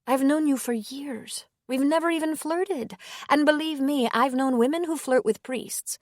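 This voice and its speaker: A woman's voice: sits high, unhurried, dry recording, clean studio sound, pitched above the performer's own voice. A woman reading as a flustered, wary woman. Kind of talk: audiobook character reading.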